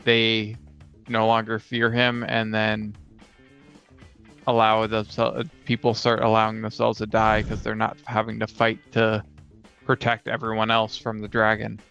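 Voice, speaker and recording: A man speaks in a flat, gravelly yet resonant voice on a slightly dull, lo-fi recording. He is a co-host casually brainstorming fantasy stories, pausing as he assembles each idea. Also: fairly low pitch, faint music behind. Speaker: podcast co-host. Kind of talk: casual creative brainstorming about tabletop fantasy lore. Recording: dull lo-fi sound.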